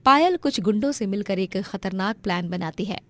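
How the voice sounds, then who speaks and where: A woman's voice: medium pitch, lively intonation, moderate pace. A woman narrating a scripted moral story.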